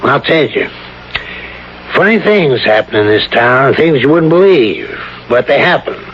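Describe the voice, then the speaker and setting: A folksy small-town police chief played by a male actor on a dull, faintly noisy old radio broadcast. He probes bluntly and slyly, in colloquial phrases with insinuating pauses. His voice sits in an ordinary male range. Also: mid-range voice, expressive, close to an everyday voice. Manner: blunt, colloquial, sly questioning with drawled pauses. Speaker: actor in a radio mystery play. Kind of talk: vintage radio drama acting.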